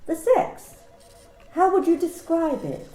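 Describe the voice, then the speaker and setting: A woman playing a coolly clinical office manager in an amateur radio play, asking personal questions in a calm, matter-of-fact, bureaucratic way. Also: very high-pitched, slow pace, echoey room, soft background music, full character voice, rough recording. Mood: businesslike.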